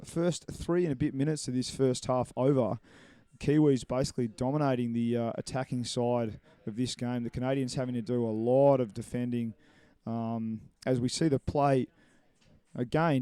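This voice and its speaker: A man calls a live match from a commentary box, chatty and rambling, full of little fillers as he goes. His voice is mellow and smooth, and the recording is close and dry. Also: medium pitch, no room sound. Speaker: sports commentator. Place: commentary box at an indoor pool.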